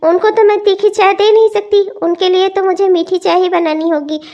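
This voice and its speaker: Woman acting a very high-voiced, earnest young bride. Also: steady tone, moderate pace, warm tone, muffled recording. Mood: dutiful. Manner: plaintive, earnest, timid. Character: meek, dutiful, uneducated young bride. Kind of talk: melodramatic Hindi family story acting.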